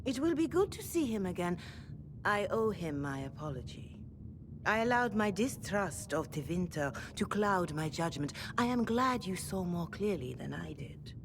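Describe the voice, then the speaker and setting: An actress playing a dignified, devout older clergywoman in a video game, speaking in a formal, measured, deferential way. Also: fairly low pitch, very animated, noisy background. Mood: solemn, courteous. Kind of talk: video game dialogue acting.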